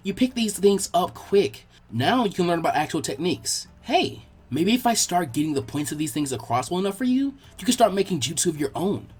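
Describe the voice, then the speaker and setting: Man voicing polite, nerdy teenage girl tutor, animated. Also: high voice, fairly quick, few pauses, breathy edge, soft background music, exaggerated voice. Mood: encouraging. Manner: instructive, slightly awkward.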